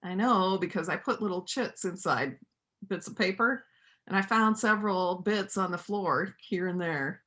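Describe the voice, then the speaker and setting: A woman's voice: lowish voice, normal speed, fairly bright tone, close to an everyday voice. A woman acting a lonely, plainspoken veterinarian and painter in a church reader's theater play. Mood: earnest, subdued.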